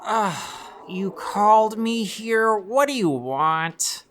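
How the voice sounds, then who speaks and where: A woman's voice: medium pitch, lively intonation, slow pace, a bit bright, a little hiss or hum. A woman playing a practical, matter-of-fact and exasperated junior copy aide in a comic audio drama.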